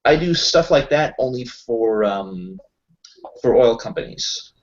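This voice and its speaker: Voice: medium pitch, lively intonation, slow pace, lo-fi sound. A roleplay player improvising casual in-character talk as an affable tech contractor, explaining things in a hesitant, rambling way. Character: Kyle, affable self-employed tech contractor.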